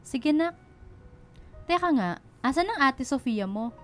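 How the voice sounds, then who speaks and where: Stern, high-voiced Filipino mother. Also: animated, a little slow, faint music behind. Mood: warm, tired. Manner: scolding, questioning, maternal. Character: stern but caring working mother. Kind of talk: Tagalog radio drama acting.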